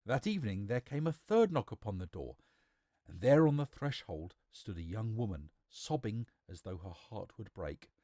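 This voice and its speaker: A man's voice: fairly low pitch, big pitch swings, normal speed, dark tone, no room sound, studio quality. A man reading a storybook aloud as a theatrical, emphatic narrator.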